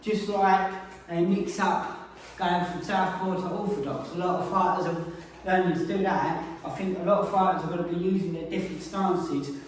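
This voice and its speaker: A man with a fairly high voice gives a casual fighting lesson and talks through moves as he demonstrates them. He is recorded from a distance in a strongly echoing indoor training space, with faint noise behind him. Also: steady tone, moderate pace. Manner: explains while demonstrating moves. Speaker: martial arts instructor. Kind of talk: casual spoken technique lesson. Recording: very echoey room, mic far off.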